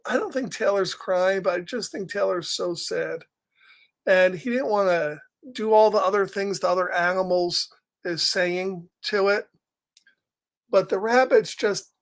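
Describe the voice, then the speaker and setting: A man hosting a story time, reading a picture book aloud gently with chatty asides and questions, sometimes dropping almost to a whisper. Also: high-pitched. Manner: gentle, conversational, often asks questions and drops into whispers. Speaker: story time reader and host.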